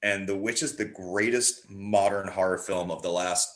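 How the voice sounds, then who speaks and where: A man's voice: deep voice, steady tone, moderate pace. A man chatting casually about films in an opinionated, emphatic way. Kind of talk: casual film chat.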